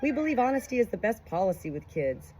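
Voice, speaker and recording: A woman's voice: a little high, big pitch swings, quick pace, dark tone, slight background noise, pitched above the performer's own voice. A woman voicing an open, tender young mother who is dying, in a heartfelt storybook reading. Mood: earnest, upbeat.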